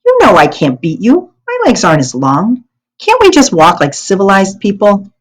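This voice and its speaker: A woman's voice: mid-range voice, huge pitch swings, moderate pace. An American woman voicing an easygoing ten-year-old girl in a storybook, mildly protesting. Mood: reluctant, amused. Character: stocky, reluctant but good-natured ten-year-old girl.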